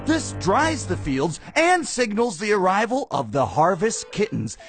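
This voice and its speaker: An earnest school film narrator, slightly high voice, really lively, soft music behind. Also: male voice, measured pace. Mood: grand, dramatic. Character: earnest mock-educational male documentary narrator. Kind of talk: scripted educational narration.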